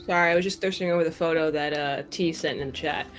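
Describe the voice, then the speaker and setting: A woman playing in a tabletop game, speaking quickly in a blunt, playful way. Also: low voice, steady tone. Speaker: player. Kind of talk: casual tabletop play.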